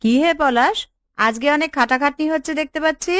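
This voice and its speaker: Woman doing high-pitched taunting village auntie. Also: fairly quick, a bit bright, no room sound, studio quality. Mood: mocking. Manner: taunting, skeptical. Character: mocking, nosy village auntie. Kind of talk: cartoon dialogue.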